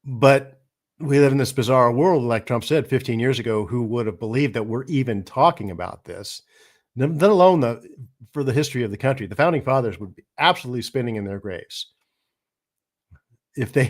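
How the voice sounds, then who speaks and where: A middle-aged man hosting a podcast, throaty and hoarse from a cold, giving political commentary in a halting way. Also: mid-range voice, a little mellow. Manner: halting, with many restarts and fillers. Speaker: podcast host.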